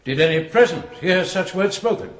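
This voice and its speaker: In a long, echoing reverb, a fairly high male voice: a medieval head of an abbey, formal, fussy and hesitant, in a radio play. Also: animated, normal speed, a little hiss or hum. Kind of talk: radio drama acting. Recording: echoing stone-hall reverb.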